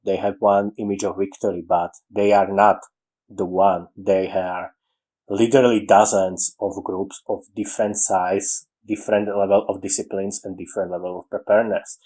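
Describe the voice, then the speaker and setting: A man with a Slovak accent, English being his second language, talks in an unscripted, meandering way. His voice sits on the lower side. He often restarts sentences and leaves out small words. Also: a little mellow, low-quality recording. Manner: rambling, with frequent restarts and dropped articles. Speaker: writing podcaster. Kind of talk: casual monologue on story writing.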